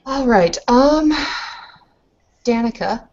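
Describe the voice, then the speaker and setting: A woman's voice: on the high side, animated, slow pace, darkish tone, highs cut off. A woman acting as game master, casually improvising narration for a tabletop roleplaying game.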